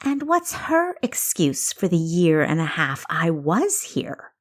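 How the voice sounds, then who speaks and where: A woman's voice: fairly low pitch, sing-song, slow pace, exaggerated voice. A woman voicing a sassy young female spy in an audiobook, cheeky and sarcastic.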